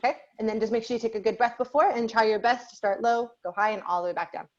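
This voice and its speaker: A fairly high-pitched young woman teaching singing over a video call, warm in her encouragement and chatty, with plenty of "um" and "like". The sound is dull and lo-fi, as if through a laptop connection. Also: fairly even intonation, very fast. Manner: encouraging, chatty, many fillers. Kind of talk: online group singing class.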